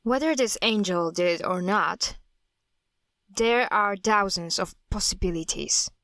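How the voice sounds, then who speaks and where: Audio drama: blunt female cult hunter, played by a woman, matter-of-fact, mid-pitched, dry sound. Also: takes it slowly, fairly bright tone, dry recording. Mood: firm. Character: blunt, guarded woman hunting a cult, estranged daughter.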